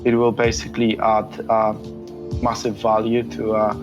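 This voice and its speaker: A man's voice: fairly low pitch, completely flat delivery, moderate pace, highs cut off, music in the background. A man in his thirties from Poland with a Polish accent, speaking in a casual interview with many fillers and repeated words. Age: 30s. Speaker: interview guest.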